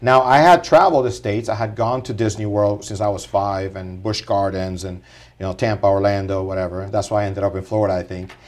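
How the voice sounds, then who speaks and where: A man's voice: lowish voice, brisk. Earnest Puerto Rican-born man telling his story. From Puerto Rico. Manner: earnest, animated, rising in energy as he tells his story. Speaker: podcast guest, speaker. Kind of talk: casual interview storytelling.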